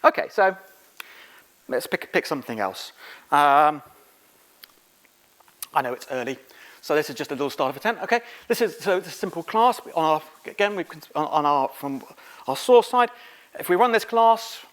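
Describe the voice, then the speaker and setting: A middle-aged man speaking as a conference presenter, giving an informal, halting technical lecture. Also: a little high, lively intonation, fairly bright tone. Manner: informal, halting, quizzing the audience. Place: conference room, on stage.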